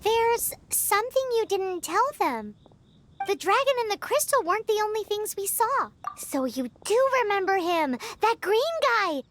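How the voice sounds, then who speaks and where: A woman voicing a tiny, childlike, excitable girl sidekick creature in a video game, with a squeaky voice. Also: normal speed, a little hiss or hum. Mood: excited, insistent.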